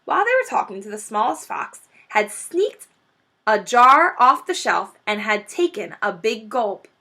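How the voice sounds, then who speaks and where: A woman reading a children's book aloud as an expressive storyteller. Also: a little high, measured pace. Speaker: story reader.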